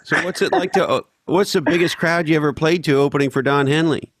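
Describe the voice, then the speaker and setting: A man's voice: a little high, quick pace, no echo. A man hosting a podcast, teasing his guest and swearing casually. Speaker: podcast host.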